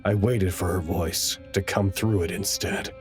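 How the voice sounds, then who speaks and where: A man acting as an anxious, earnest researcher in an audio drama, giving dramatic and deliberate log reports. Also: deep voice, steady tone, soft background music. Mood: grave, uneasy. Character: anxious, earnest male facility researcher narrating crisis logs.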